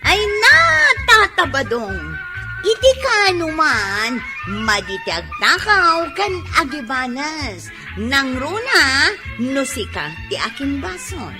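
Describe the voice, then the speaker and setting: A woman with an Ilocano accent playing an expressive, emphatic village woman in a radio comedy drama. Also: high voice, takes it slowly, music in the background, heavily acted. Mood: scolding.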